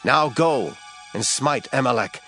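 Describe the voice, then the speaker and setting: An actor playing a solemn, authoritative ancient prophet with grave oratory in a dramatized scripture reading. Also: male voice, medium pitch, lively intonation, a little slow, bright, forceful tone. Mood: solemn, commanding. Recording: faint music behind the voice.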